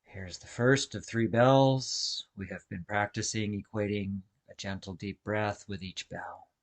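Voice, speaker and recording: A man with a fairly low voice guides a relaxation session. He gives soft-mannered, measured instructions in short phrases. Long pauses separate each cue, as a meditation instructor would leave for listeners to follow. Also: steady tone. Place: online video call session.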